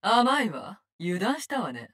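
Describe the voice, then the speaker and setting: An actress playing a cold, vengeful poison-wielding assassin in a Japanese-dubbed video game, speaking in curt, contemptuous, commanding lines. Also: female voice, medium pitch, sing-song, a little slow, a bit bright.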